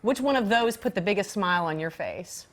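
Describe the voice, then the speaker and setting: A woman hosting an interview show, asking her questions in an enthusiastic and expressive way. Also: mid-range voice, brisk. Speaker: show host.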